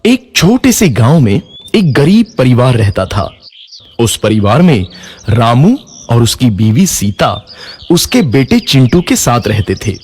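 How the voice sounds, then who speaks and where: A man narrating a children's cartoon story in a lively, expressive way. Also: mid-range voice, unhurried, slightly rough.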